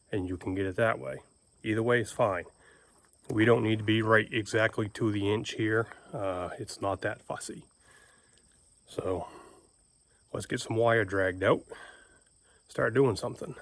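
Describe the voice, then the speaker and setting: A man with a folksy, plain-spoken manner, giving a casual, informal how-to explanation as a video host. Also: fairly low pitch. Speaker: homestead video host and ham radio operator.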